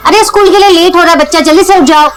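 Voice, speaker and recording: Worried mom in crime serial, really high urgent voice, loud music under it. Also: female voice, rapid-fire. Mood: brisk, searching. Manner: urgent, pleading, repetitive. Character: anxious young mother searching for her missing daughter. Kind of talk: TV crime drama acting. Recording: loud background music over dialogue.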